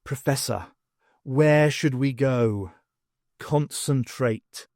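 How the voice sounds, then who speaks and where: A man's voice: mid-range voice, very slow, darkish tone, no echo, studio quality. A man voicing a flustered, bossy male academic in an audiobook, urgent and exclamatory. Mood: urgent, alarmed. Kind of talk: audiobook character voice.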